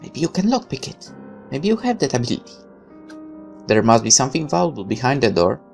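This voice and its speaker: A man with a mid-pitched voice reads a story game aloud as a let's player. He narrates expressively and slips into casual asides of his own. Music plays behind his voice. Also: sing-song, a little fast, gravelly, a little mellow. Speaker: gaming let's player reading a visual novel aloud.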